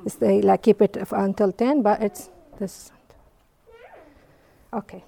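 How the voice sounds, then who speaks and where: A woman from Iraq leading a hands-on bread-making workshop, explaining steps in simple, halting English with fillers. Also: medium pitch, fairly even intonation, vocal fry, warm tone. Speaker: baking workshop teacher. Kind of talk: hands-on cooking demonstration. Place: community hall kitchen.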